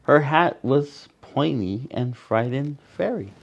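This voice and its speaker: A man reading a picture book aloud to children as a storyteller, with dramatic pauses and asides to his young listeners. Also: mid-range voice, animated, gravelly, muffled recording.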